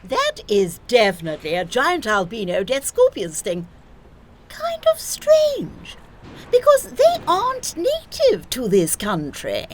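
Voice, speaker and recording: A woman playing an eccentric, theatrical nurse in an audio play, breezy even about grave matters. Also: on the high side, takes it slowly, slight background noise, exaggerated voice. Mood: brisk, odd.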